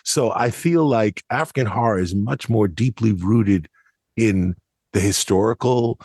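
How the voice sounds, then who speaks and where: A man's voice: lowish voice, a little slow, a little mellow, breathy edge, dry recording, clean studio sound. An American man giving conversational podcast analysis in an explanatory, emphatic way. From United States. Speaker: podcast commentator.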